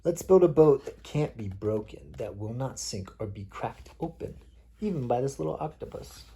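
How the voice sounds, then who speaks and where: A man reading picture books aloud as a father at bedtime, playfully pausing to chat with his child. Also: mid-range voice, expressive, dark tone, breathy edge. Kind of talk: read-aloud picture book storytelling mixed with casual chat.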